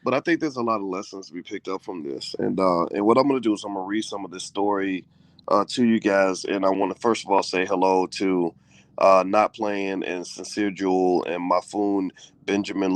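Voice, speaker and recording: A man's voice: low voice, fairly even intonation, moderate pace, dry recording. A man hosting a casual live-stream chat from bed, with a groggy morning voice, loose and chatty with many fillers. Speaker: host. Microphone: close mic at home, in bed.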